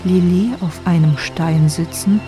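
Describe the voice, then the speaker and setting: A woman's voice: lowish voice, steady tone, measured pace, mellow, faint music behind. A woman narrating a story in a dramatic, scripted radio play style.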